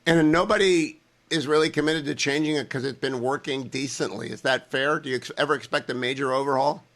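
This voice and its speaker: A man's voice: mid-range voice, brisk, a bit bright. A man hosting a talk radio show, interviewing an author with chatty, wandering questions. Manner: chatty, builds long questions with asides.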